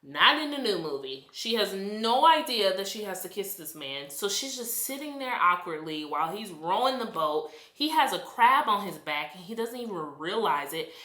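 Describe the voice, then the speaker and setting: A woman from the United States reviews a movie in a chatty, animated rant, sounding exasperated. Her voice is bright and forceful. It rings in an echoey room. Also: mid-range voice, normal speed, few pauses. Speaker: vlogger.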